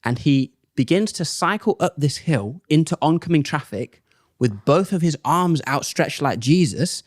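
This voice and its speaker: A young man and comedian, chatting casually as a podcast guest and telling animated stories in which he acts out other people's lines. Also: on the high side, normal speed, no room sound, clean studio sound. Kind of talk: casual chat, storytelling.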